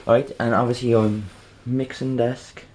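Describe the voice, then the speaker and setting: A man presenting an informal how-to demonstration, halting and rambling, often checking in with the listener. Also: medium pitch, flat delivery, noisy background. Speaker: video tutorial presenter.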